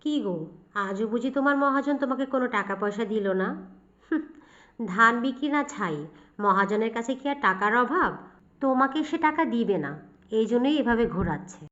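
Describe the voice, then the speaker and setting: A woman voicing a poor, loving village mother in a cartoon, brisk and nagging. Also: a little high, expressive, fairly bright tone. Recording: dull, lo-fi recording.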